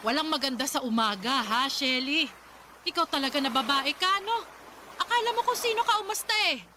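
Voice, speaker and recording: A woman playing an overbearing older mother-in-law in a radio drama, loud, sarcastic and nagging. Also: high-pitched, lively intonation, fairly quick. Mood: sarcastic, scolding. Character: overbearing, scolding older mother-in-law defending her darling son. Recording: loud background noise.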